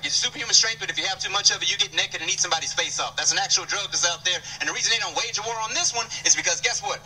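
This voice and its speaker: A man in his thirties from Chicago doing a playful, animated stand-up set and teasing the crowd. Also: high voice. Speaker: stand-up comedian. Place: stage in a large indoor venue. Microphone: handheld microphone. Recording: distant stage mic, faint room noise.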